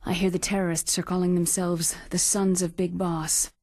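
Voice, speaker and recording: Confident lady scientist in a game cutscene, lowish voice, clinical explaining, dry sound. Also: level tone, moderate pace, darkish tone, no echo. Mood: assured, serious. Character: cool, confident female military genetics scientist. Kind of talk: dramatic video game cutscene acting.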